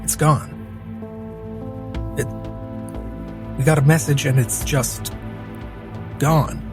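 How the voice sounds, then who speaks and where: Slow, hesitant spaceship crewman, played by a man. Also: mid-range voice, steady tone. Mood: uneasy, cautious. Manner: hesitant, halting. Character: cautious, reassuring man on a spaceship crew. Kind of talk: improvised cinematic roleplay. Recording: music bed behind the voice.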